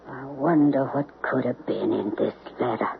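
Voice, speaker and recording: An actor playing a nervous, evasive servant, hesitant and stammering, in an old-time radio drama. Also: male voice, medium pitch, normal speed, vocal fry, natural voice. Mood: guilty, subdued. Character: nervous, evasive servant afraid of his boss. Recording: tinny, hissy old radio recording.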